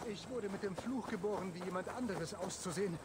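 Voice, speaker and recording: An actor playing a nervous, boastful, stuttering monk who poses as a pompous lord in a video game. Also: male voice, high-pitched, a little fast, background noise, natural voice. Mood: anxious, self-deprecating. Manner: stutters when nervous, blustering. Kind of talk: dramatic video game dialogue.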